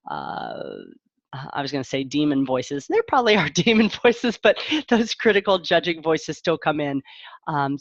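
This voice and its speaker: A woman's voice: deep voice, no echo. Reflective middle-aged woman, dull muffled recording. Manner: reflective, candid, halting with self-interruptions. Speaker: parent coach. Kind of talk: casual live-streamed talk to viewers.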